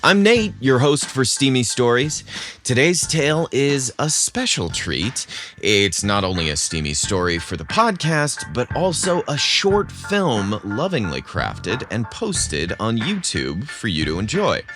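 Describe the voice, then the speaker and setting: A man who hosts a podcast, narrating scripted stories in a measured, theatrical way. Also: medium pitch, fairly bright tone.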